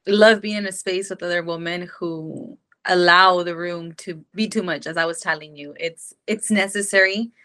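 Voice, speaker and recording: A woman's voice: on the low side, a bit bright. Earnest young woman from Mexico. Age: 20s. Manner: earnest, rambling, many fillers. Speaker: podcast guest. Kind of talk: casual personal storytelling.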